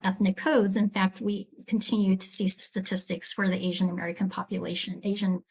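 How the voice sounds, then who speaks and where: A woman's voice: mid-range voice, level tone, fairly quick, gravelly, darkish tone, breathy edge, low-quality recording. A woman epidemiology professor who explains her research thoughtfully in a podcast interview.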